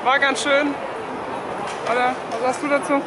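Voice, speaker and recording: A woman's voice: high-pitched, level tone, normal speed, very noisy. A woman in her thirties filming herself at arm's length on a city street, chatting casually and cheerfully, with some slang. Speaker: travel vlogger. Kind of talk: casual vlog chat. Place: busy city street outdoors, later windy beach. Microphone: camera held at arm's length.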